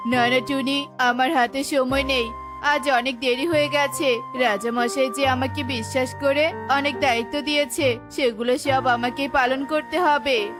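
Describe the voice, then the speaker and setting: Woman as a high-voiced earnest bird minister. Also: steady tone, unhurried. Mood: hurried. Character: dutiful kind male bird minister. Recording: music behind the voice.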